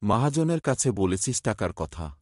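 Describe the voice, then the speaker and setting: Man as a low-voiced village workmate. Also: very animated, moderate pace, a little mellow, no echo, clean studio sound. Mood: grumbling. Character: concerned, grumbling poor village workmate.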